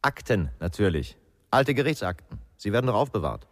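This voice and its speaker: An actor playing a gruff, brooding ex-policeman in a radio crime drama, terse and grumbling. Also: on the low side, very animated, brisk. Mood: curt, guarded. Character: gruff, brooding male ex-policeman, sullen and guarded. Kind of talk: 1990s German radio crime drama acting.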